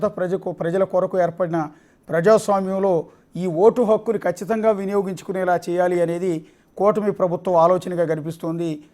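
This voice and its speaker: A man anchoring live election news, delivering commentary deliberately, phrase by phrase. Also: high voice, darkish tone.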